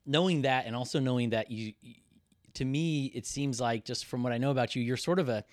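A man's voice: medium pitch, brisk, a little mellow, dry recording. Thoughtful male interviewer, dry close sound. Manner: thoughtful, searching phrasing with many fillers. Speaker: podcast host. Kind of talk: conversational interview.

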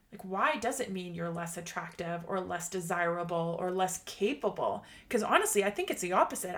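A woman's voice: mid-range voice, fairly bright tone. A woman in her late thirties hosting a motivational podcast, chatty and bubbly, laughing often. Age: 30s. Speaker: podcast host. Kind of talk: upbeat motivational podcast monologue.

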